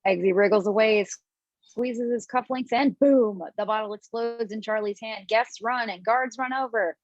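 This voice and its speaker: A woman reads stage directions aloud from home over a dull, low-fi mic. Her voice sits fairly high and sounds close and dry. She moves through the script briskly. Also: no room sound. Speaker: script reader. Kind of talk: table read of stage directions and a role. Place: home, video call.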